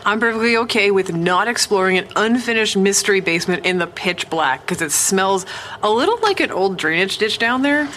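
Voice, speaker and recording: An actress plays a tipsy young-sounding woman with a bright, forward voice, wry and teasing as she talks to her listeners in a found-footage horror drama. There is some background noise around her. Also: mid-range voice, animated, fairly quick, lightly acted.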